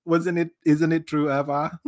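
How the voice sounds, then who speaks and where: A man hosting a casual podcast, rambling warmly with many fillers, restarts and laughs. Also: on the high side, sing-song, a little slow. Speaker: podcast host.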